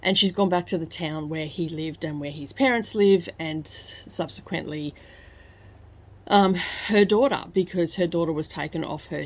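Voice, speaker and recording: A woman with a croaky voice from crying, talking softly at home in a casual book review vlog. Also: deep voice, slight background noise. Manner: hushed, soft-spoken so as not to wake others. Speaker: book vlogger. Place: at home, early morning.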